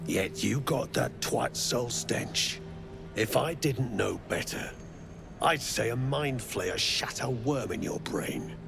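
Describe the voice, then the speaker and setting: Greedy fantasy game thug: heavy rasping fry, animated delivery, rough taunting slang, music underneath. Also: male voice, medium pitch, takes it slowly, full character voice. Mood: menacing, taunting. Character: menacing, greedy, crude mercenary slave-driver. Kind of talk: video game voice acting.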